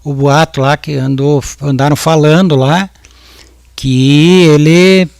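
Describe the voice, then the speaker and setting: A man's voice: fairly high pitch, fairly even intonation, slow, gravelly, darkish tone, no room sound, clean studio sound. Hesitant man in his fifties testifying. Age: 50s. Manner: hesitant, with many restarts and repeated words. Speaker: witness at a jury trial. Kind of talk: courtroom testimony.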